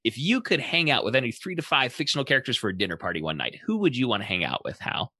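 Fast-talking male podcast host, bright voice. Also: medium pitch, big pitch swings, husky, no echo, studio quality. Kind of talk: casual podcast hosting.

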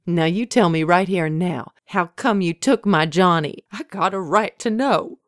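A woman's voice: lowish voice, lively intonation, unhurried, no echo, clean studio sound. A woman voicing a feisty, demanding widow, in a told story. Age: 80s. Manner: folksy, demanding, scolding. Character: feisty, indignant old Southern woman, nearly eighty-seven.

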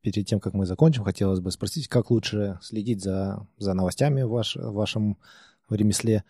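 Fast-talking podcast host with a husky voice. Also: male voice, on the low side, mellow, no echo, clean studio sound. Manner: polite, formal, brisk. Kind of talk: interview questions and show outro.

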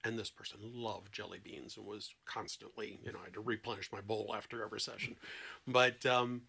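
A man who works as a therapist, talking conversationally as a podcast guest and telling anecdotes in an expressive, emphatic way. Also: fairly low pitch, brisk, vocal fry, husky. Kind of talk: conversational explanation with anecdotes and acted-out quotes.

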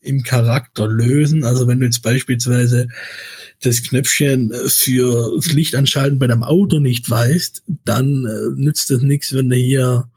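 A man's voice: mid-range voice, fairly even intonation, measured pace, a little mellow, slightly rough, no room sound. A man with a light Swabian accent hosting a podcast in a rambling, chatty way with many hesitations. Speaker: podcast host. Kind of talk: casual chat.

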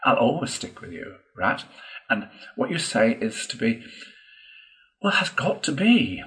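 A man's voice: medium pitch, sing-song, a little slow, fairly bright tone, voice pushed up, low-quality recording. A man voicing a meek, loyal little mole in a storybook, hesitant, wistful and eager to please.

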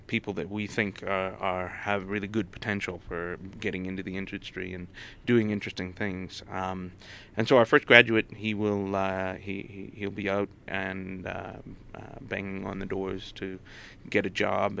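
Man, deep-set gravelly tone, casual interview talk, hesitant pauses, faint noise. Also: little pitch movement, pushed and bright. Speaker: interview guest, head of a digital arts college.

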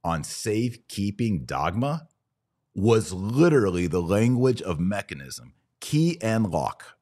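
A man with a very low voice hosts a religious podcast. He speaks conversationally but emphatically, building arguments with rhetorical questions and quoted passages. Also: sing-song, takes it slowly, warm tone. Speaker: podcast host. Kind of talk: conversational religious commentary with read-out quotations.

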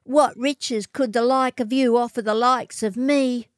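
A woman voicing a haughty, snobbish young outback woman in a storybook reading, with a shrill, sneering, theatrical delivery. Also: steady tone, unhurried, dry recording, clean studio sound, lightly acted.

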